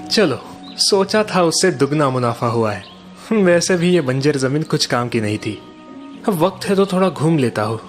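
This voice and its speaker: A man voicing a cocky, crafty young antique dealer in a cartoon story, confident and smoothly polite. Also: fairly high pitch, expressive, moderate pace, soft background music, close to an everyday voice. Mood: pleased, chatty.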